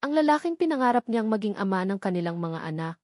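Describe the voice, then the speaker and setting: A woman's voice: mid-range voice, very animated, quick pace, a little mellow, no room sound, clean studio sound. A woman reading a dramatic scripted love story as its narrator.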